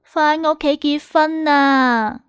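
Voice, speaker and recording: A woman voicing an excitable, chatty young woman friend in an audiobook, gushing and exclamatory. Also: high voice, slow, no room sound, clean studio sound, soft background music, pitched above the performer's own voice. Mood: proud, excited. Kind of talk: audiobook character dialogue.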